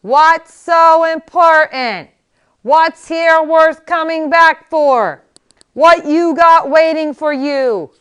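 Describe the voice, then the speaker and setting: A woman's voice: high-pitched, slow, fairly bright tone, voice pushed up. A woman voicing a cranky, boastful elderly male miracle healer who rambles in a querulous, bossy way. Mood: scornful. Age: over 80 (figure).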